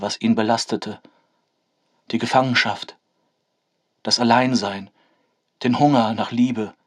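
A man's voice: medium pitch, no echo, clean studio sound, lightly acted. A man playing an earnest, melancholic young writer, reciting literary texts in a radio play.

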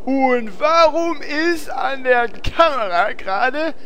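A man's voice: very high-pitched, lively intonation, slow, fairly bright tone, a little hiss or hum, full character voice. A man playing a wary, exasperated adventurer in a comedic fantasy audio drama. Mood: wary, resigned.

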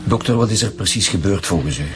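Police chief, Flemish accent, very low voice, curt, clear music behind. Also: male voice, animated, fast. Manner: curt, commanding. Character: stern veteran police chief, middle-aged man. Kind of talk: radio drama acting.

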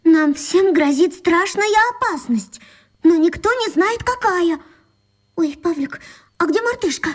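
A woman's voice: very high-pitched, expressive, measured pace. A woman playing an anxious young schoolgirl with a plaintive manner in a children's radio drama. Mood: worried. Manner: plaintive, whimpering.

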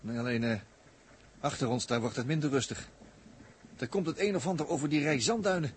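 A male actor playing a wry, talkative, excitable space explorer in a 1960s Dutch radio play. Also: medium pitch, brisk, close to an everyday voice. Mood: wry, alert. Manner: wry, talkative running commentary. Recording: noisy old 1960s radio recording.

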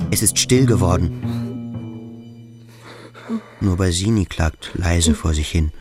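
Deep, slow man narrating in terse fragments. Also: over loud music. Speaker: second narrator. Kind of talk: scripted present-tense narration in a radio play.

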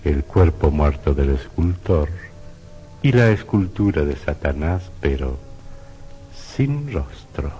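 A man's voice: rumbling low, sing-song, slow, warm tone. A man narrating a horror radio play in a suspenseful, sinister, theatrical way. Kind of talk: dramatic scripted horror narration. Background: music.

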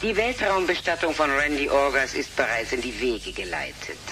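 A man's voice: mid-range voice, big pitch swings, moderate pace, bright, forceful tone, soft background music. An actor playing a slick, evasive, condescending man in a radio drama, with a glib and sarcastic manner. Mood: dismissive, sarcastic. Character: slick, evasive, condescending well-off male client.

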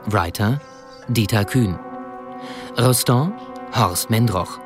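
A man's voice: fairly low pitch, slow. A man announcing the title and cast of a radio drama in a scripted style. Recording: music under the voice. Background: music.

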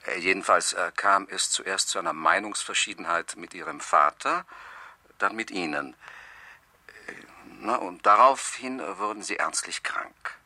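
An actor playing a calm, evasive older family doctor, soothing and measured, in a 1970s radio crime drama. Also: male voice, deep voice, dry recording, full character voice. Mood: measured, hesitant.

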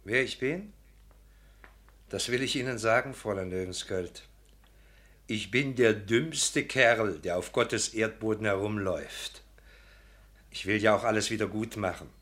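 An actor playing a contrite, self-reproaching gentleman suitor in a literary radio drama, with a formal, earnest, emphatic way of speaking. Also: lowish voice, a little slow, long pauses. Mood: contrite, flustered.

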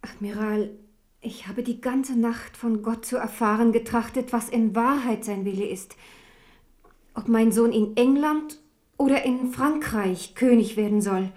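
Fervent, stern queen and mother for radio drama, played by a woman, higher-pitched voice, declamatory and devout. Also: measured pace, a little mellow, close to an everyday voice. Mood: grave, solemn. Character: proud, devout Protestant queen and protective mother. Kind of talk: German radio play acting.

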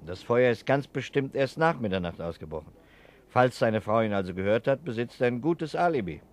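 An actor playing a composed, probing private investigator in a 1960s German radio crime drama. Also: male voice, medium pitch, lively intonation, brisk, lightly acted. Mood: brisk, purposeful. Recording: 1960s radio production, audible background noise.

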